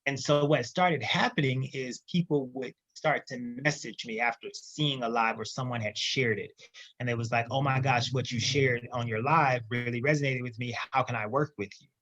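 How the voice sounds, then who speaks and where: Lively man on a dull remote line. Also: medium pitch, normal speed, dry recording. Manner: lively, emphatic storyteller. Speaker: guest entrepreneur. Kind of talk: casual interview storytelling.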